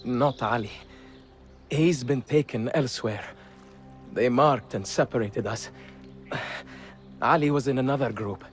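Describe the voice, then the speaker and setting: An actor playing a weary freed rebel prisoner in a video game cutscene. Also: male voice, medium pitch, measured pace, long pauses, faint music behind, natural voice. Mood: weary, halting.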